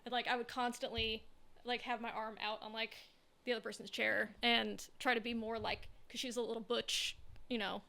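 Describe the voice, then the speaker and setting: A woman from Southern California, a podcast co-host, speaks with strong vocal fry and a slightly high voice. She rambles loosely in casual chat and often trails off mid-thought. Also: sharp tone.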